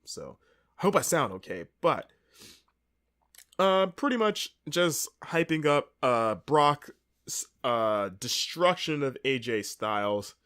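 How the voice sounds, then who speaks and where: A man's voice: medium pitch, big pitch swings, slow, sharp tone, clean tone. Male podcast host with a cold, congested and wry, chatty solo recap. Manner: rambling, wry and sarcastic. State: congested, with sniffles. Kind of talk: casual wrestling recap.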